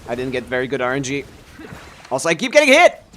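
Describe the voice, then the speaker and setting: A man's voice: medium pitch, wildly swooping pitch, fast talker, bright, forceful tone, a little hiss or hum. Offhand man streaming a game. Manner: offhand, sweary, gamer slang. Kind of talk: casual live gameplay commentary.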